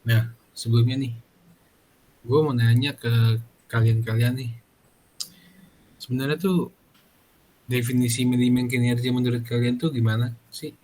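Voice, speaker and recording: A man's voice: mid-range voice, steady tone, normal speed, pauses often, darkish tone. A young adult man, hosting a casual student podcast in an informal, slangy way. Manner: informal, slangy, frequent "gitu kan" tags.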